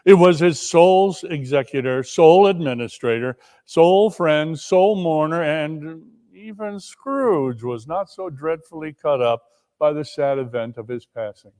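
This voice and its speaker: A man narrating a classic story theatrically, leaning deliberately on key words. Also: fairly high pitch, darkish tone. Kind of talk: dramatic reading of a classic story.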